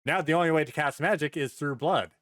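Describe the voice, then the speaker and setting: A man chatting casually as a podcast host, telling stories in a rambling, excitable way and swearing freely. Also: on the high side, fairly quick, no room sound, clean studio sound.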